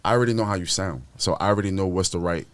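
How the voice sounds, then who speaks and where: A man with a deep baritone voice, hosting a casual podcast chat in a boastful, repetitive way and swearing freely into a studio mic. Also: rapid-fire. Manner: boastful, repeats himself, swears. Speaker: podcast host.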